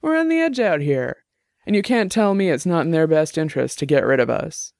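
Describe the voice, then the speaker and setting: A man voicing a tipsy young woman musician who argues loudly and derisively in a bar, in an audiobook. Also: low voice, lively intonation, a little fast, gravelly, dry recording, clean studio sound. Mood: combative. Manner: loud, combative, derisive.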